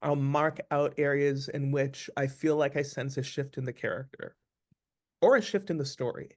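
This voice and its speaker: A man speaking as an actor being interviewed, explaining things casually in a thoughtful, deliberate way. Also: mid-range voice, steady tone, a little mellow.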